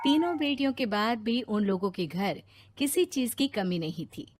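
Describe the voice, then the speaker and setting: A woman narrator tells a scripted moral story in a fairly high voice. Her delivery is lively, with wide movement in pitch. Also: moderate pace, over loud music.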